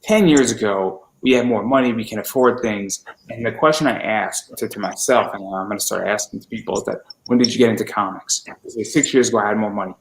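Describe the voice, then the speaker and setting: A roomy, echoey recording of a man in his late twenties with a fairly low voice. He talks casually like a podcaster in conversation, often repeating his first words in a stammering run before getting into the sentence. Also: quick pace, few pauses, a little hiss or hum. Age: 20s.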